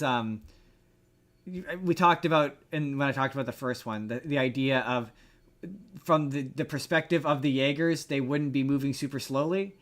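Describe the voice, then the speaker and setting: A man chatting casually as a podcast co-host, explaining things in an informal, slangy way with halting repeats. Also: fairly high pitch, fairly bright tone. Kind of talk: casual movie review chat.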